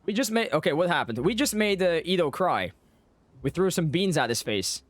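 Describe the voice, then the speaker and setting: A man's voice: sits high, big pitch swings, moderate pace, no room sound. A man giving casual, playful live gameplay commentary as a streamer, often repeating his phrases. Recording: game music behind the voice. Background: video game music.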